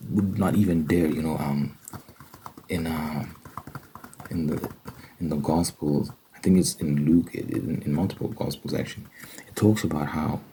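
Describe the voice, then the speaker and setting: A man's voice: extremely low pitch, dark tone, slight background noise, low-quality recording. A man giving an informal, reflective ASMR religious monologue, thinking aloud as he explains scripture. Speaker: host of an ASMR Bible study. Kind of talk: ASMR religious monologue, conversational Bible commentary.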